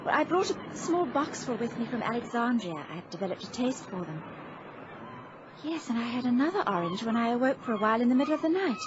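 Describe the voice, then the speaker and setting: High-voiced, expressive lady passenger. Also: brisk. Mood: thoughtful. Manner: genteel, expressive, pleading. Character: earnest, refined young lady passenger, emotional daughter. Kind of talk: old-time radio drama acting. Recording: noisy, muffled vintage radio recording.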